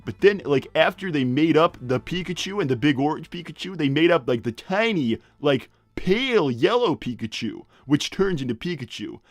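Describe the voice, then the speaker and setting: Casting: man playing cocky middle-aged cartoon hedgehog father, slightly high male voice, very lively pitch, crude and rambling livestream banter. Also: no echo. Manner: rambling, halting, blunt, full of tangents. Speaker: character host reading viewer messages. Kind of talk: improvised in-character livestream comedy.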